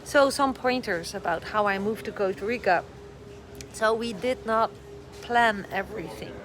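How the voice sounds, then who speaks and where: A woman's voice: mid-range voice, unhurried, a bit bright. A middle-aged woman from the Netherlands with a Dutch accent, chatting on a casual live stream, a little short on sleep and a bit scattered. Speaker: vlogger giving advice. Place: airport departure area. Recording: noisy airport live stream. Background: airport ambience.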